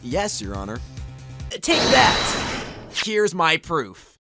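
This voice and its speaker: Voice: mid-range voice, wildly swooping pitch, drawn-out words, pushed and bright, heavily acted. An actor playing an earnest, dogged young male defense lawyer in a fan-dubbed courtroom drama, pressing hard yet sometimes unsure. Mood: confident. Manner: pressing, declamatory, hesitant asides. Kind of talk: fan dub courtroom game acting. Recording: amateur dub with clear music behind.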